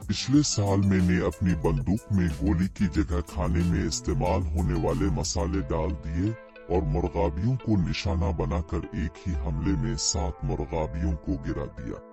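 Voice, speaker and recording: Swaggering tall-tale hunter, acted by a man: very low voice, scornful boasts, music bed underneath. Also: normal speed. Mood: boastful. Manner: bragging, scornful. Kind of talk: children's audio story dialogue. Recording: voice over clear background music.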